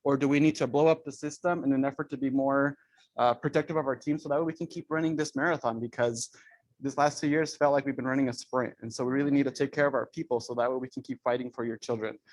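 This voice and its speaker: A man's voice: mid-range voice, steady tone, quick pace, a little mellow, dry recording, rough recording. A man, a school administrator, giving staff updates at a parent meeting and switching between English and Spanish. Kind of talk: bilingual staff announcement.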